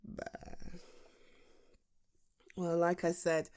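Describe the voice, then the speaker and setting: A British woman playing a teasing, self-deprecating best friend in her late thirties in a chatty, halting ASMR roleplay monologue. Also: lowish voice, completely flat delivery, a little mellow. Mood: reflective, fond. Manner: halting, chatty, with sighs and chuckles. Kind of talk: ASMR roleplay acting, intimate conversational monologue.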